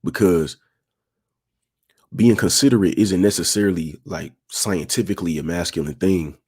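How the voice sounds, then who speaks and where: A young man with a raspy voice, talking in a laid-back, smooth way and repeating himself as he hosts a casual livestream. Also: deep voice, moderate pace, darkish tone. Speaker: livestream host.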